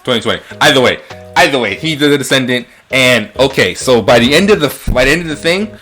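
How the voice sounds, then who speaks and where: A man's voice: medium pitch, animated, fairly quick, bright, forceful tone, soft background music. A man chatting casually and profanely as a podcast co-host, rambling and repeating his phrases. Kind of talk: casual, profane chat about movies.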